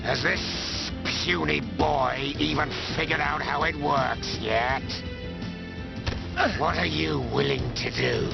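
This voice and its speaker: An actor voicing a cruel, theatrical traitor in a video game, gravelly, sneering and mocking as he draws out his words. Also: a little high, big pitch swings, heavily acted. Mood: mocking, gloating. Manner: sneering, drawn-out, mocking delivery. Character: gloating traitorous villain inside a machine, cruel and theatrical. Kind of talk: video game cutscene acting. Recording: muffled lo-fi game audio, loud music.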